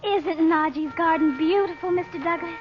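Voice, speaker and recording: An actress playing an earnest, eager teenage daughter in an old-time radio drama. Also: female voice, extremely high pitch, normal speed, music in the background. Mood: bright, eager. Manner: earnest, girlish, polite. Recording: dull, slightly noisy old radio recording.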